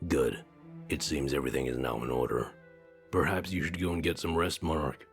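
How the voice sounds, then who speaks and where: Extremely deep, stately creature. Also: male voice, fairly even intonation, a little fast, gravelly, breathy edge, full character voice. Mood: solemn, approving. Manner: formal, stately. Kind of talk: video game voice acting. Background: game music.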